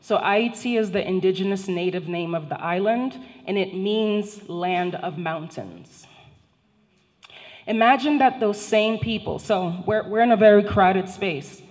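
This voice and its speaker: A woman's voice: mid-range voice, measured pace, darkish tone. A middle-aged woman whose first language is not English, giving a formal prepared lecture from a panel table into a handheld microphone, speaking directly to the audience. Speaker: guest speaker, professor. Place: large indoor function hall, seated at a panel table. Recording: long hall reverb.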